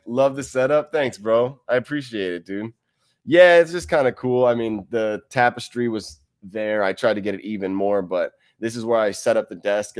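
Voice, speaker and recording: Chill dude streaming from home, reading chat, laughs a lot, rambly. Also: male voice, lowish voice. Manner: laid-back, rambling, laughs often. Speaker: livestream host. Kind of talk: casual livestream chat, reading viewer comments. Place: wide room at home. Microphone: close desk mic.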